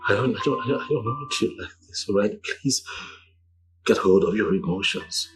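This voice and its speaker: A man playing a gentle pleading husband. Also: lowish voice, lively intonation, normal speed, soft background music, lightly acted. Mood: pleading tenderly. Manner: soothing, pleading, soft-spoken. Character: gentle devoted husband calming his wife. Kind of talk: film drama acting.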